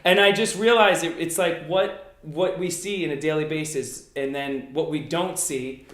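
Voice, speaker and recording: A man's voice: on the high side, fairly even intonation, normal speed, fairly bright tone, reverberant. A man whose first language is Italian, being interviewed about his career and talking with eager energy and many "you know"s. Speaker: magician guest. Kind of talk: casual interview.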